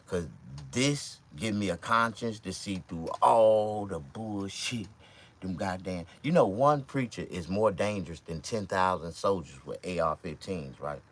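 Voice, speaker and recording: A man around fifty with a Mississippi accent, talking to camera in a loose, profane, rambling monologue with sudden loud outbursts. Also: low-pitched, a little slow. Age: 50s. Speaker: vlogger and stand-up comedian.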